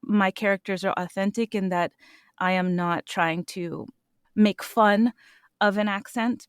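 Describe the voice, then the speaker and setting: A woman voice actor answering interview questions in an earnest, animated way, laughing now and then. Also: on the low side, no room sound, studio quality. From United States. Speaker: voice actor guest.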